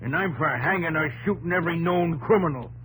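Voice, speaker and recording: An actor playing a fiery American frontier newspaper publisher and vigilante leader, blustery and folksy, in a 1950s radio drama. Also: male voice, fairly high pitch, fairly quick, a bit bright, slightly rough. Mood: fiercely righteous. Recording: noisy old radio broadcast with hum.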